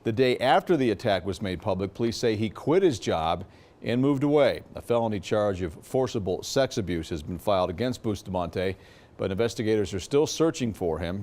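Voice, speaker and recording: A male news anchor with a fairly low voice reads scripted stories for a television newscast. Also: darkish tone.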